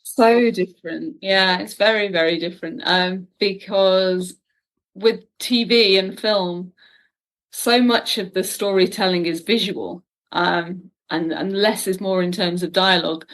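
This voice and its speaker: A British woman speaking casually and emphatically as an interview guest, telling stories with self-mocking humour. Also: on the low side. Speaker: guest, audio drama and TV writer.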